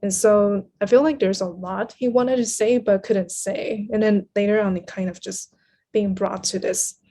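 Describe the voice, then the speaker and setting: A woman's voice: medium pitch, fairly even intonation, moderate pace, warm tone. A woman speaking as a podcast guest, discussing books reflectively and thoughtfully, searching for her words as she goes.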